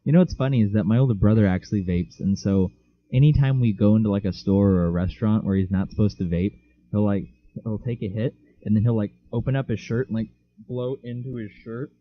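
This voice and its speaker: A man's voice: fairly low pitch, fast talker, highs cut off. A teenage boy co-hosting a casual podcast, joking and teasing his friend while telling stories. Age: teens. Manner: joking, teasing, tells stories with big laughs. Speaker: podcast co-host. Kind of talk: casual chat.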